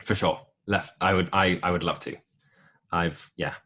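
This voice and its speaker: Deep-voiced young man, husky and low. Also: normal speed. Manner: relaxed storytelling with many ums and restarts. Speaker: podcast guest, musical theatre performer. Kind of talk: casual interview chat.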